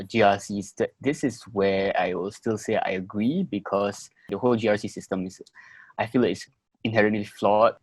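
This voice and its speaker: A man's voice: lowish voice, no echo. A man of twenty-four with a Singaporean accent, talking conversationally as a member of a discussion panel. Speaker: first-time voter on a discussion panel.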